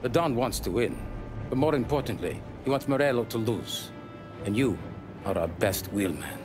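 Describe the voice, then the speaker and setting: An actor playing a calm, authoritative middle-aged mob consigliere in a video game, speaking. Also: male voice, medium pitch, natural voice. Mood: firm. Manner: measured, authoritative. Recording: game dialogue over music.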